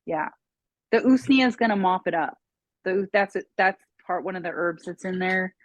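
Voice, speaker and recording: A woman's voice: lowish voice, moderate pace, no room sound. A woman speaking as a guest expert in a casual podcast chat, giving emphatic, matter-of-fact advice. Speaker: guest expert on mold and parasites.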